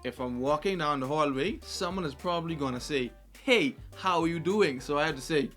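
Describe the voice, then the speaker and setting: A man with a light, high voice, hosting a podcast in casual, animated chat, who laughs often and sometimes stammers. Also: brisk, soft background music. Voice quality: light, high voice, not deep. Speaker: podcast host.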